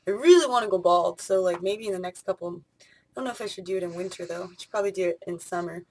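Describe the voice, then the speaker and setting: A woman's voice: fairly low pitch, fast talker, darkish tone. A woman in her late twenties talking casually in a vlog, rambling with many fillers and restarts. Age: 20s. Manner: rambling, spur-of-the-moment talk with many fillers. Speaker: vlogger.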